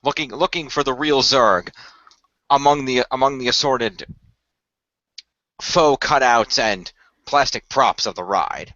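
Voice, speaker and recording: A man performing and narrating a semi-improvised radio play in a measured, explanatory way with formal phrasing. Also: medium pitch, pushed and bright, dry recording. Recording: dry, dull lo-fi microphone.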